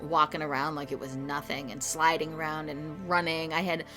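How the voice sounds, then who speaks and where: A woman's voice: low-pitched, level tone, normal speed, poor audio. A woman co-hosting a casual review, talking informally and candidly as she recalls what happened. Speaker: co-host of a haunted attraction review. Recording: music under the talk.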